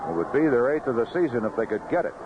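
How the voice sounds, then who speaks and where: A man calling baseball play-by-play on live radio in clipped phrases, pausing between pitches. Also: very animated. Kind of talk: live radio sports commentary. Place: outdoor ballpark broadcast booth. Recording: noisy, muffled vintage 1970s radio broadcast.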